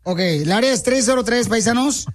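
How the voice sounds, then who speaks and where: A man's voice: extremely high pitch, normal speed. A man with a Mexican accent hosting a radio show, bantering with guests in an exclamatory, slangy, familiar way.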